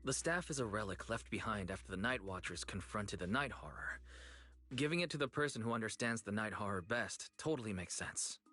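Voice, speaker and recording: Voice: medium pitch, expressive, fairly quick, sharp tone. An actor voicing a reserved, supportive companion in an anime-style game. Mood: calm, reassuring.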